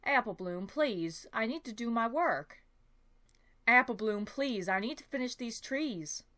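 Woman as an unhurried farm-girl pony. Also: on the high side, lively intonation, sharp tone. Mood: earnest. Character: friendly hardworking country farm-girl pony.

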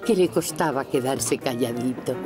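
A woman playing a kindly storyteller, narrating a children's cartoon in expressive Castilian Spanish. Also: extremely low pitch, normal speed, music in the background. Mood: gentle, amused.

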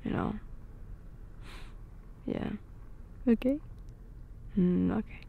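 A woman's voice: medium pitch, drawn-out words, narrow, tinny audio, a little hiss or hum, exaggerated voice. A woman playing a playful, affectionate girlfriend in an intimate ASMR roleplay, giggly and slipping into whispers. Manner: breathy giggles, dropping into whispers.

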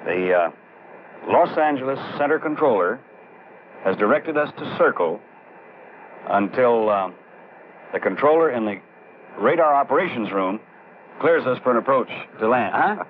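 A man's voice: mid-range voice, lively intonation, normal speed, pauses often, natural voice. A man playing a curious traveler in a 1960s educational film, asking questions conversationally. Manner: inquisitive, conversational. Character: curious male traveler learning about air traffic control. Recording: muffled old film soundtrack, faint hiss.

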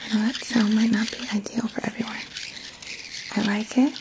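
A woman in her thirties whispers gently and soothingly in a halting, breathy voice, hosting an ASMR guided healing session up close to the mic. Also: a little high, rough recording. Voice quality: breathy whisper, mostly unvoiced. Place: small quiet indoor room. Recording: faint background noise.

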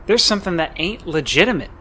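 An actor playing a suspicious, hot-headed neighbor in a radio play, speaking in a folksy, menacing way. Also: male voice, sits high, lively intonation, quick pace, pushed and bright, a little hiss or hum. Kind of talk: neo-radio play acting.

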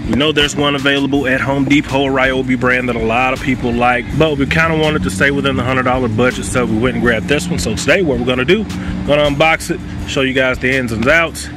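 A man's voice: medium pitch, steady tone, quick pace, noisy background. A man hosting his own channel as a pitmaster, narrating an unboxing and review outdoors in a casual, upbeat way. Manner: upbeat and conversational, narrating each step. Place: outdoors in a yard or driveway.

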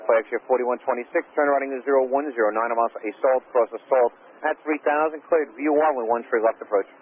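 A man's voice: mid-range voice, steady tone, fairly quick, narrow, tinny audio. A man working as an air traffic controller, speaking in clipped phraseology over aviation radio.

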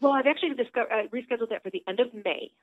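A woman with a low voice, talking chattily on a phone call into a radio show, upbeat, with lots of fillers. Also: very fast, no echo. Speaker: theater director calling in. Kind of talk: casual phone interview. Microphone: telephone. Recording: tinny phone line.

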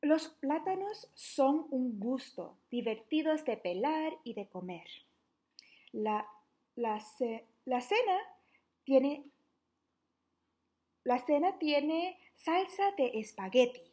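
High-voiced young woman reading to little kids. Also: takes it slowly, long pauses. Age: young adult. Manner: animated, questioning, sniffing and reacting playfully to the book. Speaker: social worker reading a children's book. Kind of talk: interactive read-aloud for small children. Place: small living room at home, indoors.

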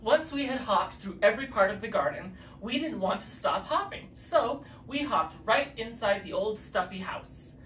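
A drag queen reading a children's story aloud in an expressive, deliberate way. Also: mid-range voice. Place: living room with fireplace, indoors. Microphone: distant camera mic. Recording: echoey room, distant mic, faint noise.

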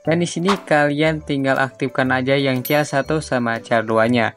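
A man from Indonesia, giving a casual, informal step-by-step software tutorial. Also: mid-range voice, steady tone, moderate pace. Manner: informal, repetitive step-by-step instructing. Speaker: tutorial host. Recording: music behind the voice. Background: background music.

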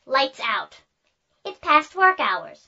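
High, sharp, bossy robot. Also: big pitch swings, slow pace, heavily acted. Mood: brisk, resolved. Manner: curt, commanding. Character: bossy, fed-up little robot leader. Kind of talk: comic sci-fi audio drama acting. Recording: dull, lo-fi audio.

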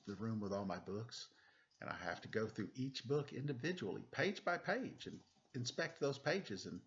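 A middle-aged man with a playful, animated, self-deprecating manner, doing a children's read-aloud with casual, joking asides into a webcam at home. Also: lowish voice. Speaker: storytime reader. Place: indoors, room at home. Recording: dull webcam audio, noisy background, clicks.

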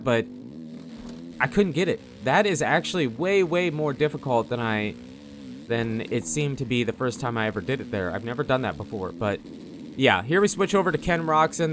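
A man giving casual, rambling off-the-cuff commentary as host of a gaming video, in a conversational way. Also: medium pitch. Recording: game engine noise behind the voice. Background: motocross bike engine sounds from game.

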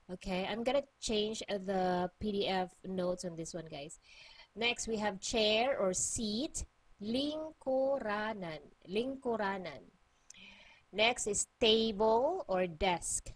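Woman teacher with a Cebuano accent, unhurried delivery, repeats each word patiently for learners. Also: medium pitch. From Philippines. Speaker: language teacher. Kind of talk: online language lesson.

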